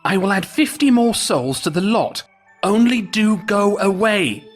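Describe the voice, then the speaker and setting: A man voicing a devil who guards hell's cauldron in a storybook folk tale, loud, alarmed and shooing people away. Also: high voice, lively intonation, a little slow, faint music behind, voice pushed up. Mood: desperate bargaining.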